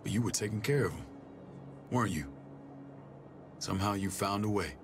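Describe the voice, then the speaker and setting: Casting: male actor as hardened, low-voiced soldier in charge of security, commanding, blunt, protective, for a cinematic game; music behind. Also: moderate pace, close to an everyday voice. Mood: earnest, regretful. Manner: blunt, profane, clipped orders. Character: gruff, commanding military man, hardened and protective older brother. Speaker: security chief of a survivor settlement. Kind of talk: cinematic video game acting.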